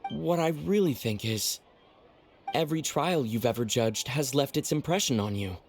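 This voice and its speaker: A man playing a calm, sincere young traveler in a video game, speaking earnestly and thoughtfully. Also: medium pitch, normal speed, a little hiss or hum, natural voice.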